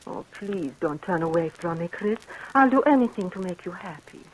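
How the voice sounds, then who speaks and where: An actress playing a possessive, jealous wife in an intense, theatrical old-time radio drama style. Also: female voice, on the low side, animated, moderate pace, slight background noise, natural voice, rough recording. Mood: pleading.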